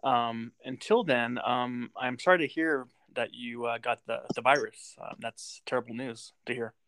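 A man from the United States hosting a casual movie podcast, halting with many ums and frequent laughs. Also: mid-range voice, a bit bright, no echo. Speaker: podcast host.

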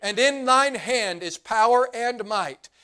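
A man preaching a sermon as pastor, his voice hoarse and fading, earnest, slowing down for emphasis. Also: extremely high pitch, sharp tone. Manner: earnest preaching, slows and drops to emphasize points.

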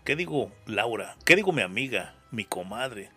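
A man's voice: mid-range voice, very animated, moderate pace, bright, forceful tone, husky, faint music behind. A Mexican man hosting a casual interview, talking in a slangy, rambling way and often repeating his phrases. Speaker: interview show host.

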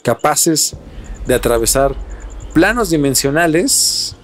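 A man's voice: on the high side, big pitch swings, slow, slight background noise. A man with a Mexican accent hosting a podcast, telling things conversationally and posing rhetorical questions as he speculates. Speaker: podcast host.

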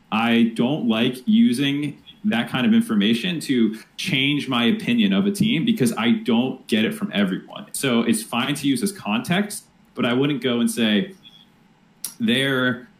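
A man's voice: medium pitch, echoey room, rough recording. A male co-host on an esports talk show, giving his opinion casually, hedging and restarting as he thinks aloud.

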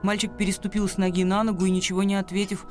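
A man's voice: high voice, flat delivery, rapid-fire, faint music behind. A man reading scripted literary narration as the narrator of a radio drama.

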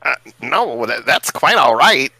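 Man putting on bright courteous anime hero. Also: medium pitch, big pitch swings, unhurried, vocal fry, slightly rough, dry recording, heavily acted, poor audio. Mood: flirtatious. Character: Goku, courteous flirtatious spiky-haired male anime hero. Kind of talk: dramatic fan fiction reading.

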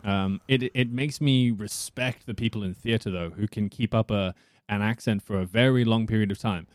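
A man from South Africa, an actor chatting casually on a podcast with a husky, soothing voice and a thoughtful manner. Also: fairly low pitch, normal speed, no room sound.

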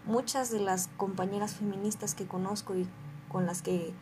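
A woman's voice: mid-range voice, steady tone, moderate pace. A young woman from Guadalajara with a Mexican accent, a university student speaking politely as a podcast guest. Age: young adult. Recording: noisy background.

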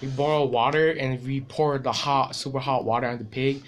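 A young man hosting a casual podcast, laid-back and joking, with a voice that is going hoarse. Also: medium pitch, fairly even intonation, normal speed. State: losing his voice. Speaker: podcast host. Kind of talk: casual chat. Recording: noisy home recording.

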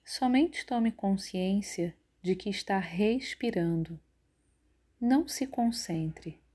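A woman leading a guided yoga nidra relaxation in a calm, measured, instructive way. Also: medium pitch. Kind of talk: guided relaxation meditation narration.

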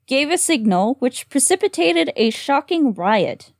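A woman's voice: sits high, big pitch swings, unhurried, no room sound, clean studio sound. A woman reading a horror story aloud with a slight lisp on s sounds, sometimes tripping over words. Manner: giggly, trips over words. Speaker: reader and co-host.

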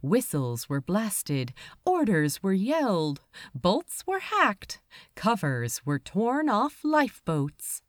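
A woman reads fiction aloud in a mid-pitched voice that rises and falls expressively. She takes her time, placing deliberate pauses for dramatic weight. The sound is dry, with hardly any room on it. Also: wildly swooping pitch, dry recording. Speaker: audiobook reader of fan fiction. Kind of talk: expressive scripted story narration.

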